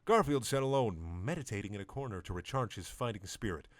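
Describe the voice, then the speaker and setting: Deadpan man reading fan fiction. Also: lowish voice, fairly quick, no echo. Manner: deadpan, mock-serious narration with wry asides. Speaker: dramatic reader of fan fiction.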